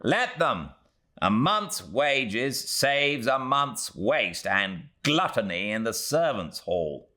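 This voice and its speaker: Man voicing an imperious, animated British gentleman. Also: mid-range voice, slow pace, pitched above the performer's own voice, exaggerated voice. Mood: impatient, scornful. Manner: brusque, commanding. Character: imperious, curt Victorian baronet, tight-fisted and impatient. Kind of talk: audiobook character voice.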